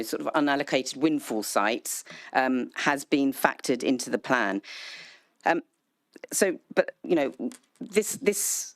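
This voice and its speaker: A woman councillor answering public questions at a council meeting, speaking in a measured way with frequent ums and restarts. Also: deep voice, no echo. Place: council chamber, hybrid meeting. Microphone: desk microphone.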